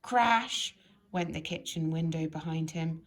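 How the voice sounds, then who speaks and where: A woman reads a children's story aloud in an expressive, emphatic storytelling manner. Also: sits low, measured pace. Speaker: storybook reader.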